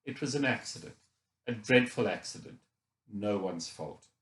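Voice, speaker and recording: A man's voice: lowish voice, animated, moderate pace, fairly bright tone, roomy sound. A man voicing a worried, gracious mother in an audiobook, speaking in an earnest and reassuring manner. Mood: reassuring, anxious. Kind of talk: audiobook character dialogue.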